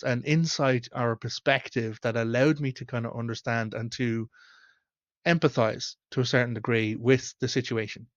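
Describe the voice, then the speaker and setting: An Irish man in his thirties talks straight into a webcam at home, reflective and candid, often pausing on "um" and "like". His voice sits at an ordinary pitch, and the sound is dry and a little dull, as cheap desk-mic audio often is. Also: no room sound. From Ireland. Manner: candid, reflective storytelling with frequent fillers. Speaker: host. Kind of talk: casual talk to camera. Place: small room at home, indoors.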